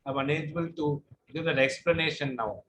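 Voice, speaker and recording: A man's voice: a little high, moderate pace, a bit bright, slight background noise, rough recording. A middle-aged man lecturing online with a droning voice, answering questions patiently and explaining step by step. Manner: patient, explanatory, some repetition.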